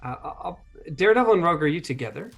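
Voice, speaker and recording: A man playing a tabletop game, chatting casually and narrating his character's actions in an animated, self-interrupting way. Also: fairly high pitch, very fast, gravelly, soft background music.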